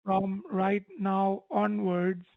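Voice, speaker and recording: A man's voice: high-pitched, level tone, extremely slow, vocal fry, no room sound. An impatient, insistent man arguing over a phone call as a support agent, repeating himself. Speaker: tech support phone scammer. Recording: dull phone line, faint music behind.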